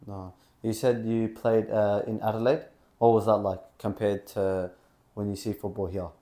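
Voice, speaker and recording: A man's voice: fairly low pitch, flat delivery, moderate pace, mellow. A man interviewing a guest, asking questions hesitantly and restarting them as he goes. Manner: hesitant, repeats his question openings.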